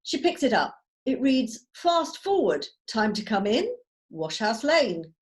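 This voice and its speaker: Lively, slightly high-voiced woman narrator. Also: unhurried. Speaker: narrator reading stage directions. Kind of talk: scripted narration at a table read. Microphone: video call.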